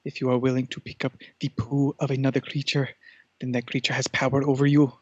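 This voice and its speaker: Guy doing a pompous bossy cat, even deadpan-flat delivery, deliberate, dry but a bit muffled, improv podcast. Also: medium pitch, no room sound, natural voice. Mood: smug, imperious. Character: haughty, imperious cat demanding to be served. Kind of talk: improvised character comedy interview.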